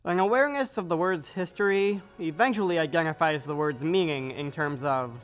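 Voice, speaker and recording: A performer gives a scripted recitation of literary prose. The pitch is middling, and faint music runs behind the voice. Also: fairly quick. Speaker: readers theater performer reading quotations.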